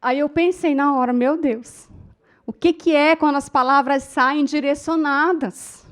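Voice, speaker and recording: Brief: Brazilian woman, middle-aged, high voice, public speaking teacher, emotive storyteller who talks straight to the room. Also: steady tone, a little slow. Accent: Brazilian Portuguese. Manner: emotive storytelling, addresses the audience as "gente". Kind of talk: lecture to a class.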